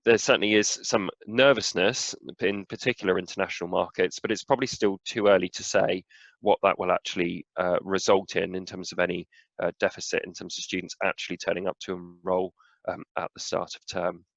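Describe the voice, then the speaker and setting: A man with a cold, hoarse as he presents a slide update to a webinar audience, with many "uh"s and "um"s. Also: deep voice, fairly even intonation, a little fast, a bit bright, no room sound, highs cut off. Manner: filler-heavy, frequent "uh" and "um". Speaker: senior relationship manager giving an update.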